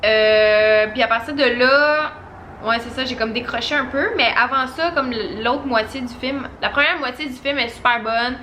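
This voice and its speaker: A young woman with a Québécois French accent talks to her camera in a loose, chatty vlog style, sprinkling in casual fillers. Her voice sits a little high and sounds bright and sharp. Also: moderate pace, slight background noise. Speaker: vlogger. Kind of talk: casual chat to camera, film review.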